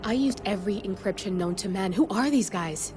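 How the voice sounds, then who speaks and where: A woman's voice: medium pitch, brisk, over music, lightly acted. An actress playing a sardonic young hacker who briefs her partner in a blunt, wry, matter-of-fact way. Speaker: hacker and handler. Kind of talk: video game cutscene acting. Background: game score.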